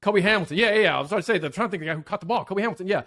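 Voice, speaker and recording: A man hosting a podcast, chatty and agreeable, asking questions in a relaxed way. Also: sits high, racing. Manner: chatty, agreeable, many short backchannels. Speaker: podcast host. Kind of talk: casual interview chat.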